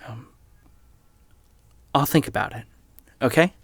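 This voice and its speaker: A man playing a self-doubting young podcaster in an audio drama, speaking in a halting, unsure way. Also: medium pitch, lively intonation, vocal fry, slightly rough, no echo, heavily acted. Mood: hesitant, torn. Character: hesitant, self-doubting young male podcaster turned investigator.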